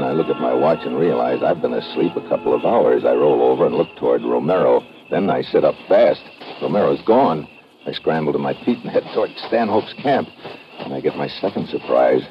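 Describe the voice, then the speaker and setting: Very deep, laconic radio adventurer. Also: male voice, expressive, fairly quick, faint music behind. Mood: tense narration. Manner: laconic, clipped, hardboiled. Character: tough, wry, watchful male adventurer narrating his own case. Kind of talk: old-time radio adventure drama acting, with first-person narration. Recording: dull, noisy old radio recording.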